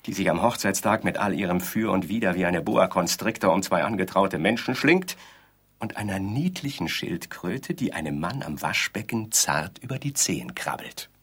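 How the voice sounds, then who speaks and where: An actor playing a pompous, touchy bridegroom in a radio drama, speaking in pedantic, stilted, over-elaborate sentences. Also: male voice, deep voice, animated, moderate pace, fairly bright tone, husky, full character voice. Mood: argumentative. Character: pompous, touchy middle-class bridegroom on his wedding night. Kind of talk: theatrical radio drama dialogue.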